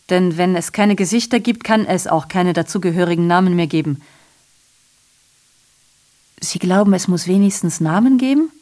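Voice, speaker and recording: A woman's voice: medium pitch, darkish tone, natural voice. An actress playing a bitterly ironic, evasive woman messenger reporting horrors in a theatrical, sardonic radio drama monologue. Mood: bitter irony.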